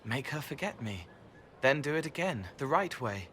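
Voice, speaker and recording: An actor playing a gentle young male spirit who talks in soft, halting, dreamy fragments. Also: mid-range voice, big pitch swings, slight background noise.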